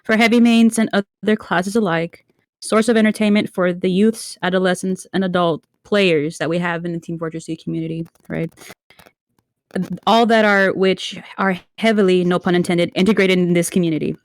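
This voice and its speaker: A woman from Mexico, a Spanish speaker, giving a prepared speech as a league referee while under the weather, halting and apologetic with many fillers and restarts. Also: fairly low pitch, steady tone, dry recording. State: under the weather, slightly murky voice. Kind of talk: prepared speech to an online community.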